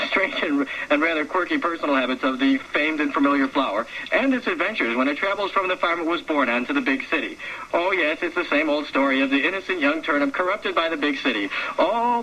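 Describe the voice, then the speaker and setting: A man's voice: fairly high pitch, pushed and bright, lo-fi sound. A man playing a gushing, defensive film critic in a comic radio movie review, rambling and cutting in with little exclamations. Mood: chirpy, chatty, then gleeful. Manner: effusive, rambling, self-interrupting with little exclamations. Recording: faintly noisy 1979 radio broadcast recording.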